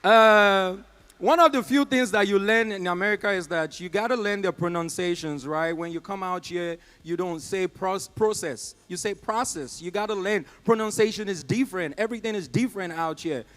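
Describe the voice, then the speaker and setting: A young Nigerian man doing live stand-up, telling a story and acting out the people in it with quick voices and loud exclamations. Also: sits high, clean tone. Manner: acts out callers and agents in quick put-on voices, loud exclamations. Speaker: stand-up comedian. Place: comedy club stage.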